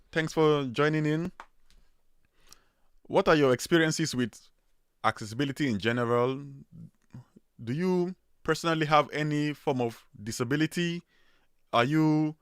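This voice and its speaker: A man's voice: on the high side, moderate pace, long pauses, fairly bright tone, clean tone, no echo, studio quality. A man with a strong Nigerian accent hosting a casual livestream, chatty and loose with many fillers and restarts. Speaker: livestream host.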